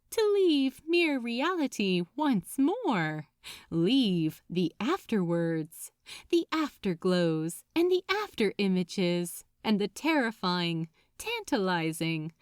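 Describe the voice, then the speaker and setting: A woman narrating a story expressively, with deliberate, dramatic phrasing. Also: on the high side, no echo, clean studio sound.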